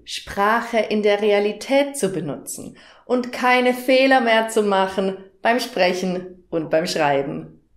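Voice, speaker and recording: Female language teacher, 30s, unhurried and didactic, careful enunciation, mid pitch, roomy echo. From southern Germany, near Stuttgart. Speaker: German teacher, workshop host. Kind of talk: instructional presentation to camera, addressing learners directly. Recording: roomy, echoing room sound.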